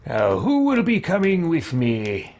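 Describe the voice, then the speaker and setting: A man voicing a brooding, suspicious and sly fantasy adventurer in a video game roleplay, with theatrical, drawn-out delivery. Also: a little high, huge pitch swings, darkish tone, exaggerated voice.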